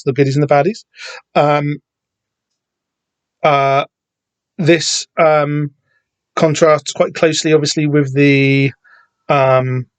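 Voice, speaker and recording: A man's voice: on the high side, no room sound. A man hosting a solo comic book podcast, rambling and digressive with lots of fillers and self-corrections. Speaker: podcast host. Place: home room with door open on a summer day.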